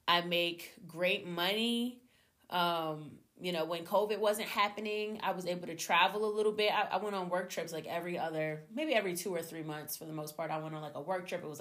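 Chatty, slightly hoarse woman podcast host. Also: lowish voice. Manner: chatty, animated, addresses listeners as y'all. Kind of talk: casual solo podcast chat.

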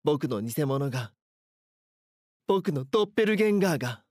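An actor playing a frightened schoolboy who narrates a horror audio drama in an earnest, dramatic way. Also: male voice, a little high, sing-song, moderate pace, darkish tone, no room sound, studio quality. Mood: panicked. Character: frightened schoolboy haunted by his doppelganger. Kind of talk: first-person scripted horror narration.

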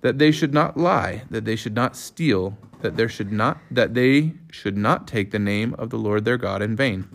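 A man's voice: fairly low pitch, normal speed, vocal fry, few pauses. A man reading scripture aloud as part of a family reading.

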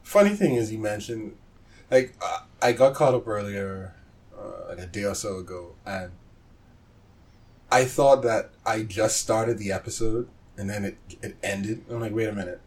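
Low-voiced man chatting casually. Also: normal speed, a little mellow. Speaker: podcast co-host. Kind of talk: casual chat about anime.

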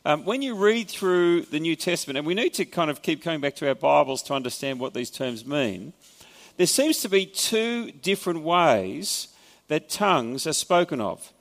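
Aussie preacher guy, slightly high voice w some gravel, chatty sermon, church echo. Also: expressive, moderate pace. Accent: Australian. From Australia. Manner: conversational, explanatory, wry asides. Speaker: pastor. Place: church hall.

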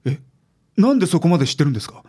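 A man's voice: on the high side, sing-song, racing, mellow, husky. A man voicing a friendly, sheepish struggling stage actor in a radio drama.

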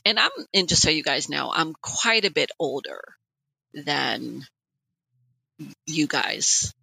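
A woman in her late forties co-hosting a podcast, telling personal stories in an animated, conversational way. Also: low voice, slow pace, creaky, slightly rough, no echo, poor audio. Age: late 40s. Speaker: podcast co-host. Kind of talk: casual personal podcast chat.

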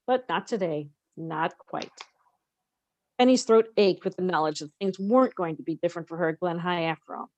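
A woman's voice: fairly low pitch, normal speed, darkish tone, no room sound, dull audio. A woman co-hosting a podcast, expressively reading a novel aloud with casual, conversational asides.

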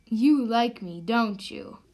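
Radio drama role: cocky, commanding young man, female performer, unhurried delivery. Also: a little high, animated, darkish tone, voice pushed down. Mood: smug, impatient. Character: self-assured, vain young man from a magic town. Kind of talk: amateur radio drama acting.

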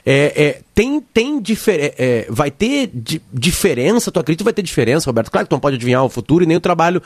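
Male interviewer, gaúcho accent, conversational and halting, repeats words, close dry recording. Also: a little high, sing-song, dry recording. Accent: southern Brazilian, Rio Grande do Sul. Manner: halting, repeats words while forming questions. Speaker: radio show host.

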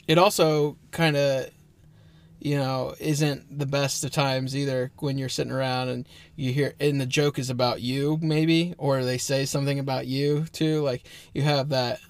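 Young male co-host, joking and animated, gravelly fry with a bright edge, dry close sound. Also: medium pitch, moderate pace, no room sound. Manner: joking, laughs often, animated. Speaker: podcast co-host. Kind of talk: casual chat.

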